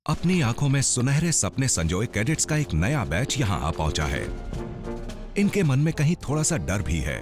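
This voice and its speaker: A man's voice: mid-range voice, sing-song, moderate pace. Male doc narrator, big swooping delivery, music under it. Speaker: documentary narrator. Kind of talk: scripted narration.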